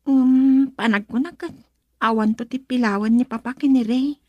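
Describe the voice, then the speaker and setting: Actress doing gentle young woman in love, high voice, northern philippine accent, hesitant, radio drama, very dry sound. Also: steady tone, moderate pace, dry recording, lo-fi sound, natural voice. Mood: thoughtful, earnest. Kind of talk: Ilocano radio drama acting.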